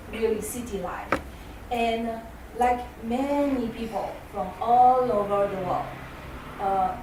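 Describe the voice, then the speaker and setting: A woman's voice: a little high, background noise. Singaporean woman speaking far off in an echoey hall. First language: Chinese. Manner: hesitant, many fillers. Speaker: guest speaker. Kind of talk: talk to an audience.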